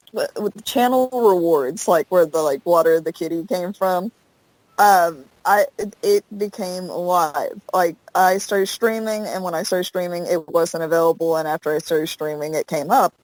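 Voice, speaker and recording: A woman's voice: on the low side, unhurried, a little mellow, dry recording, rough recording. A young woman from the American South, a streamer, chatting casually in an interview and rambling with lots of fillers. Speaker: Twitch streamer, guest. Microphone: headset.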